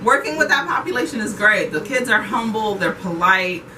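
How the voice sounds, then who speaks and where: Woman guest, teacher, animated casual talker; roomy, off-mic, noisy recording. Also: medium pitch, moderate pace. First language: Haitian Creole. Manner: animated, repeats words for emphasis. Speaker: interviewee, ESL teacher. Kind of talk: casual interview chat. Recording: roomy echo, distant mic, noisy background.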